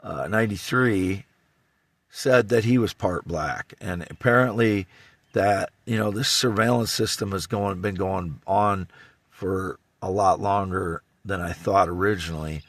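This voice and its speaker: A man in his fifties with a low, scratchy voice and a Midwestern American accent, recorded dry and close. He talks in a rambling monologue, halting and circling back to repeat himself insistently. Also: no echo. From Iowa, United States. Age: 50s.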